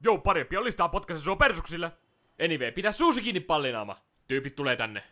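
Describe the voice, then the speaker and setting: A man's voice: fairly high pitch, big pitch swings, pushed and bright. A man playing a bullying, foul-mouthed teenage older brother in a cartoon fan dub, sarcastic and slangy. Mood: irritated. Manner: sarcastic, swearing slangy drawl.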